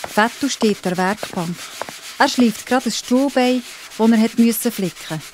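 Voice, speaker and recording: A woman's voice: a little high, moderate pace, noisy background. A woman with a Swiss accent narrating a children's story.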